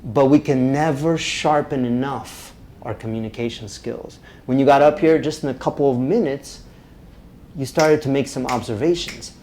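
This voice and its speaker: A man from Romania, teaching a live public speaking workshop, energetic and often checking in with the group. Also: medium pitch, moderate pace, slight background noise. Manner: energetic, checks in with "right?" constantly.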